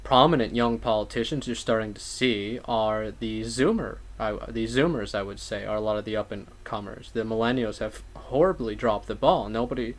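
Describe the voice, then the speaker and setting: A man giving casual political commentary in a measured, wordy way, often qualifying what he says. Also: lowish voice.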